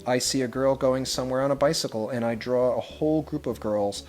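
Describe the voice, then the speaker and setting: Male storybook reader, mid-pitched voice, measured and expressive delivery, instrumental music underneath. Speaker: head of school reading a picture book to children. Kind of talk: storybook read-aloud with brief asides.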